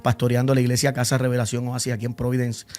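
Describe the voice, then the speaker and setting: A man's voice: mid-range voice, completely flat delivery, very fast, a little mellow. A middle-aged man from Puerto Rico with a Puerto Rican accent, a guest pastor talking in a casual, earnest and friendly way. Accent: Puerto Rican Spanish. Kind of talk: casual testimony interview.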